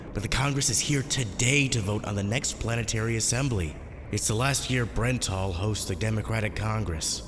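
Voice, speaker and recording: A man acts a harried space general stuck in politics, wry and exasperated, in an audio drama. His voice is on the low side, ringing with long reverb over noisy ambience. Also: lightly acted. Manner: exasperated, casual.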